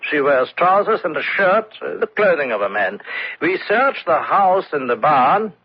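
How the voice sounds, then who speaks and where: A man's voice: a little high, sing-song, moderate pace, husky, dry recording, exaggerated voice. An actor playing a dutiful, slightly hesitant German soldier reporting to his superior in a radio drama. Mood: matter-of-fact. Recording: noisy, dull old radio recording.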